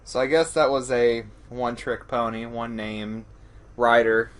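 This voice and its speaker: A man's voice: mid-range voice, fairly even intonation, unhurried. A man co-hosting a podcast, talking through a film in a casual, rambling, self-deprecating way. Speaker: podcast co-host.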